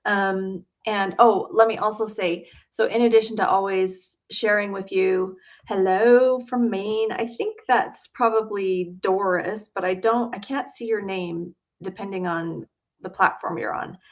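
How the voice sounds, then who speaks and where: A woman's voice: medium pitch, fairly even intonation, unhurried. A middle-aged woman from Utah hosting a casual livestream from her home studio, chatty and full of ums and uhs. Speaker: quilting channel host.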